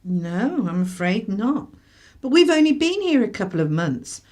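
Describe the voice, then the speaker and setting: A woman's voice: medium pitch, sing-song, measured pace, a little mellow. An actress playing a flustered, guarded mother of a young boy in a radio drama, fussing and apologetic.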